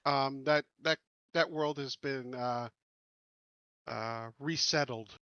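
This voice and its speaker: Measured man playing a tabletop game, slightly muffled. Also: medium pitch, sharp tone, smooth, no room sound. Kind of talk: casual in-character roleplay.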